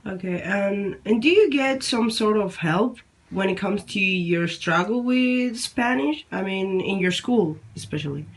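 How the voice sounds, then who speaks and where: A woman interviewing a teenager, asking measured questions and rephrasing them as she goes. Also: mid-range voice, rough recording.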